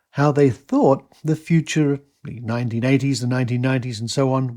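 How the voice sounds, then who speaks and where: A man hosting a podcast, reading scripted narration in a measured, emphatic way. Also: mid-range voice, vocal fry, a little mellow. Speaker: podcast host. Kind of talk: scripted documentary-style narration.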